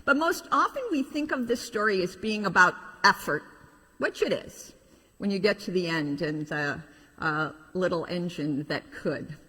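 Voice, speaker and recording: A middle-aged woman, a pastor telling a children's story in an animated way with informal asides. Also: lowish voice, a little slow, slightly rough. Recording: reverberant hall.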